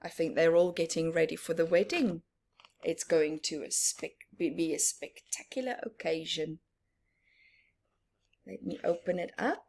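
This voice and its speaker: Slow-paced woman reading to children. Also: deep voice, gravelly. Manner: expressive, teacherly, emphatic repetition, questions to the listener. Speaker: children's story time reader. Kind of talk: picture book read-aloud with explanatory asides to children.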